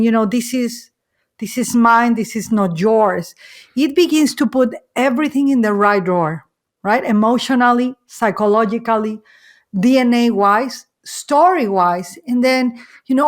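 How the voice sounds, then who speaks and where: A woman hosting a podcast interview in accented, non-native English, effusive and halting, often repeating her phrases. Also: on the high side. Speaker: podcast host.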